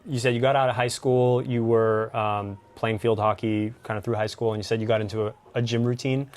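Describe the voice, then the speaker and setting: A man's voice: on the low side, level tone, a little fast, a little mellow. Easygoing male podcast host. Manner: easygoing, encouraging, frequent mm-hmm and restarts. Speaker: podcast host, gym coach. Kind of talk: casual interview chat.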